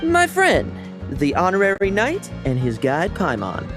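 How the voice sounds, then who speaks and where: A woman's voice: on the low side, huge pitch swings, measured pace, music in the background. A woman voicing a sly, breezy young knight who talks in a teasing, theatrical, nonchalant way in an anime video game.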